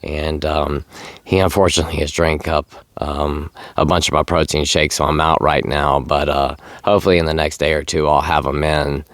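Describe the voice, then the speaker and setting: A middle-aged man who is tired and losing his voice, talking casually and haltingly to camera in a vlog. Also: extremely low pitch, little pitch movement, fairly bright tone, dry recording. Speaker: sobriety vlogger. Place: outdoors.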